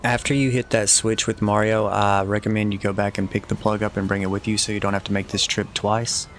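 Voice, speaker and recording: A man's voice: fairly low pitch, level tone, a little fast, a bit bright, faint music behind. A man giving casual video game walkthrough commentary and tips in a matter-of-fact, advisory way.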